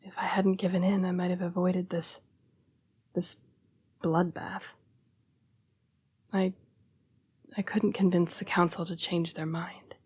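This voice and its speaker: A woman playing a young-seeming, formal and apologetic female vampire in an intimate audio roleplay, breathy and often dropping to a whisper. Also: on the low side, flat delivery, a little mellow. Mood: regretful. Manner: formal, polite, measured phrasing. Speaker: vampire council's correspondence assistant.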